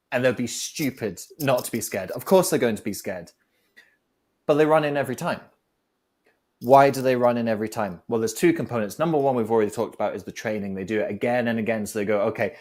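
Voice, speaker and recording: A man who talks his ideas through in an even, mid-pitched voice. He is a guest on a talk show, reflective and fond of working analogies step by step. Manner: reflective, builds points with analogies. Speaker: guest speaker. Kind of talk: conversational advice on a talk show.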